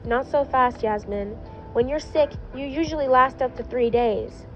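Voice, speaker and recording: A teasing but caring boy, quick to get embarrassed, read by a woman. The storybook voice is fairly high and sits in dull audio over music. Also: close to an everyday voice. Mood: cautioning. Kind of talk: storybook-style character voice in fan fiction reading.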